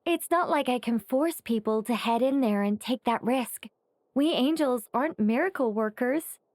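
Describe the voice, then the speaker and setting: A woman voicing a cautious, wry female angel in a video game, speaking in a measured, matter-of-fact way. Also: fairly high pitch, a little mellow, no echo, studio quality, lightly acted. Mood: reluctant. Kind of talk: animated game dialogue.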